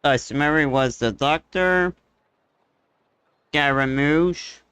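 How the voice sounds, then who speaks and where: A man's voice: fairly high pitch, takes it slowly, a bit bright, smooth, dry recording. A man livestreaming a game, musing hesitantly in casual commentary on a close microphone.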